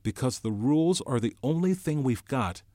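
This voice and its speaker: A man's voice: fairly low pitch, sing-song, takes it slowly, a bit bright, dry recording, studio quality, full character voice. A man voicing an earnest boy leader in a storybook, urgently pleading for order with authority. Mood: urgent protest.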